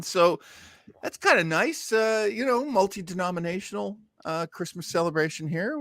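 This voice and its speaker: A man's voice: on the high side, a bit bright, no echo, studio quality. A man hosting a podcast with conversational commentary. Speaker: podcast host.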